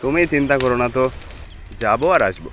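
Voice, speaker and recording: Over clear rain and background noise comes a man acting as a village husband from a cartoon ghost tale. His voice sits at an ordinary middle pitch. He speaks in a dramatic, homely storyteller's way. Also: lively intonation, fast, fairly bright tone, lightly acted. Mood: homely, chatty. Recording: noisy, rain and ambience behind voice.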